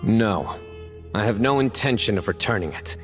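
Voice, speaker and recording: A man voicing a deep, stern desert guardian. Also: lively intonation, moderate pace, over loud music, lightly acted. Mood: serious. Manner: low, terse and serious. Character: stern young male desert guardian, reserved. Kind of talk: video game voice acting.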